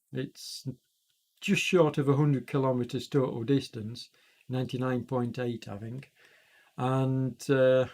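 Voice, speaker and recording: A man with a chest cold giving loose, rambling live commentary on a virtual cycling event as its host, often pausing and correcting himself. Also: medium pitch, a little mellow. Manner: rambling, self-correcting, many fillers. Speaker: e-racing commentator and streamer.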